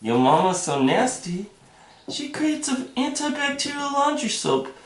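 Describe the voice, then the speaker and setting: A man putting on a high falsetto to play a vain, sly female Russian spy in a comic monologue, with a mock Russian accent. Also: big pitch swings, a little slow, full character voice. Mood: sly boasting. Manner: falsetto, mock Russian accent, swooping sing-song pitch. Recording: echoey room, mic at a distance.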